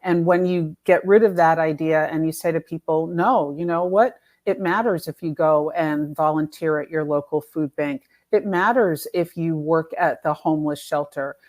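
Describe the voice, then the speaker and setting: A woman's voice: low-pitched, steady tone, moderate pace, few pauses, a little mellow. A woman explaining her work as an author and coach in a conversational interview, emphatic and fond of acting out example quotes. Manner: emphatic, illustrates points with imagined quotes. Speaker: guest, author and activism coach.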